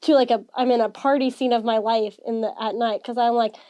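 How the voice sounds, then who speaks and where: Young woman co-host, slightly high voice, chatty self-deprecating storyteller. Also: fairly even intonation, a little fast, mellow. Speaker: podcast co-host. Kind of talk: casual chat.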